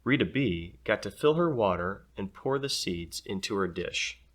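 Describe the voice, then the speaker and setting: A man reading a children's story aloud in an expressive, playful way. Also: fairly low pitch, moderate pace, slight background noise. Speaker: storybook reader.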